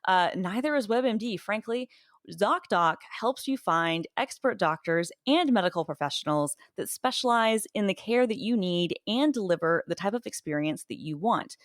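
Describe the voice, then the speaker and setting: A woman's voice: medium pitch, expressive, normal speed, no room sound, studio quality. A woman co-hosting a podcast, reading a sponsor spot in a chatty way with jokey asides. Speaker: podcast co-host. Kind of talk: casual podcast chat and conversational ad read.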